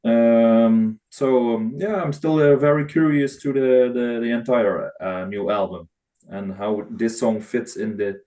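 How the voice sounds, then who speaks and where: A man giving his view as a guest in a casual music chat, speaking haltingly and searching for words. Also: mid-range voice, mellow. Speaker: guest reviewer.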